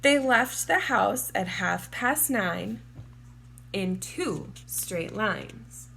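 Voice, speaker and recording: A woman narrates a picture book for young children at a very unhurried pace. Her pitch is average for a woman, and she phrases deliberately and expressively, stretching out words. A faint noise sits behind her voice. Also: animated, gravelly, pushed and bright, low-quality recording. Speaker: children's storybook reader, teacher. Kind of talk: read-aloud storybook narration for young children.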